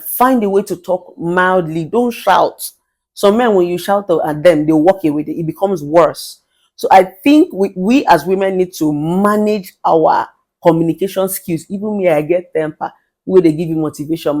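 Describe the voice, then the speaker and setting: A middle-aged Nigerian woman, a relationship therapist, giving emphatic advice with an authoritative, commanding voice and bits of Pidgin. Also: mid-range voice. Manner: forceful, emphatic, mixes in Pidgin phrases. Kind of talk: motivational advice monologue.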